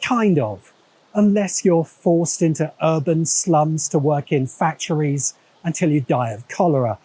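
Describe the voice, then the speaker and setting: Wry English male essayist; soft husky voice, slightly high, reading scripted narration. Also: a little slow, dry recording, poor audio. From England. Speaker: video essay narrator and host.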